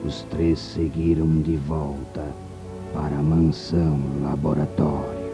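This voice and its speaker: Man as a very deep, slow radio narrator. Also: level tone, over music. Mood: solemn. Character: solemn radio-play narrator. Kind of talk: dramatic scripted narration. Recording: dull lo-fi radio recording.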